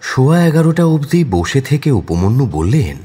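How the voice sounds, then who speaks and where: A man's voice: medium pitch, big pitch swings, normal speed, warm tone, husky. A man narrating a detective audio story in a dramatic, scripted style.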